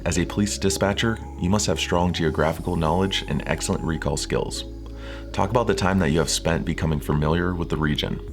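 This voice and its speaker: Male narrator, extremely low voice, scripted coaching-video read, measured and formal, clear music bed. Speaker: presenter of interview coaching videos.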